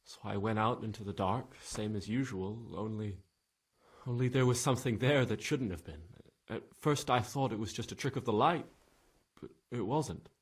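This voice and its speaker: A man playing a frightened young stable lad in a game cutscene, stammering and halting, with a husky voice. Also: lowish voice. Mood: shaken, haunted. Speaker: video game character, witness being questioned. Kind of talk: dramatic game cutscene acting.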